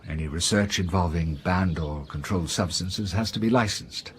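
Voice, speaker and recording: An actor playing a careful, middle-aged university professor in a naturalistic radio drama, explaining things in a measured, slightly guarded way. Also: male voice, sits low, a little hiss or hum, lightly acted. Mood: guarded, explanatory. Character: careful, defensive male university professor running a lab.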